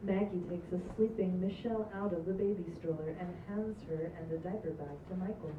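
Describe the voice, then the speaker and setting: A woman's voice: lowish voice, steady tone, a little fast. A woman reading stage directions as scripted narration at a table read. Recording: echoey, distant, dull, slightly noisy room.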